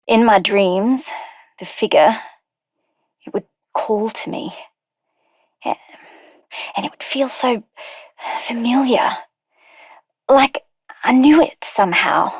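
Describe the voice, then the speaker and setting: An actress playing a determined, guarded research scientist in a video game, with an earnest, clipped delivery. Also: female voice, a little high, takes it slowly, pauses often, breathy edge, no room sound, exaggerated voice. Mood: haunted, reflective. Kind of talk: scripted game character dialogue.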